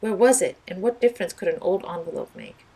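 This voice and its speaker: A woman's voice: on the low side, big pitch swings, a little fast, vocal fry, dark tone, breathy edge, poor audio. A woman narrating a children's mystery storybook as a read-aloud storyteller.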